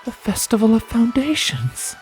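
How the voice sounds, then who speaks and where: A man's voice: very high voice, very animated, normal speed, soft background music, pitched above the performer's own voice. A man voicing a guarded, protective adult mare, a pony in a fantasy story, with an earnest, firm manner. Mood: awestruck, explaining.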